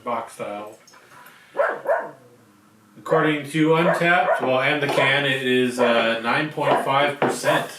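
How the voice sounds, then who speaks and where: A man with a medium-pitched voice talks casually as a podcast co-host. The recording is roomy and set back from the mic, with loud noise behind him. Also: slow pace, pauses often, recorded from a distance. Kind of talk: casual beer-tasting chat.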